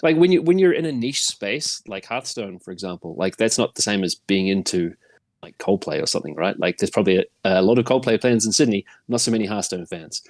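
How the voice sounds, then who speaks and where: A man's voice: fairly low pitch, expressive, fairly quick, no echo, studio quality. A middle-aged man with a New Zealand accent chatting casually as a podcast guest, in a rambling, self-deprecating way. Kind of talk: casual chat.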